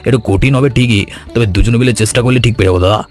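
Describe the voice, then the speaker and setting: Cartoon sweet-shop helper, male actor: fast-talking, loyal, agreeable, mid pitch, music behind. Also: darkish tone, close to an everyday voice. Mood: eager. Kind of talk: animated story dialogue. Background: background music.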